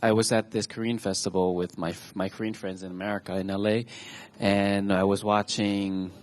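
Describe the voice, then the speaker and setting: Man, 20s, from Thailand, talk show speaker, somewhat low pitch, casual halting storytelling, frequent restarts. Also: flat delivery, a little mellow. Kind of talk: personal storytelling to an audience. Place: stage show.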